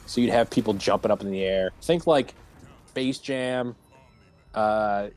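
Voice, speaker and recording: A man's voice: fairly low pitch, measured pace, darkish tone, slight background noise. A man hosting a casual round table chat about entertainment, speaking in an informal, conversational way.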